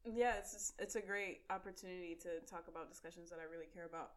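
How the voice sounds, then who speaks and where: A woman's voice: lowish voice, fairly even intonation, quick pace, a loud crowd. A woman from Chicago, a guest on a casual podcast, bubbly and giggly, talking with many ums. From Chicago, USA.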